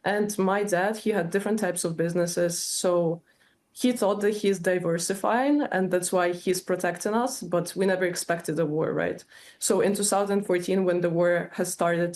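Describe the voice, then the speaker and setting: Young woman, lowish voice, explaining things earnestly in an interview. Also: darkish tone. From Ukraine. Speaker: interview guest.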